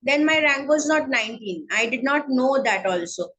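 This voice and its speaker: A woman with a Bengali accent speaking English as a guest in an interview, slow and hesitant with many fillers. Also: high-pitched, expressive, a bit bright, dull audio. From India. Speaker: guest, counselling psychologist. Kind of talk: online interview, casual chat.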